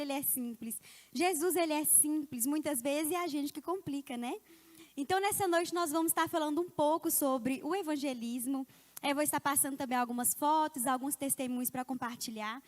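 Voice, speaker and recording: A woman from Ipatinga, a missionary giving a conversational church teaching talk, often ending phrases with a tag question. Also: sits high. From Ipatinga, Minas Gerais, Brazil. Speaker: missionary speaker.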